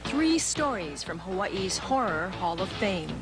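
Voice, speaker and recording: A woman's voice: mid-range voice, sing-song, a little slow. A woman reporter reading scripted narration for a TV feature. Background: loud music bed.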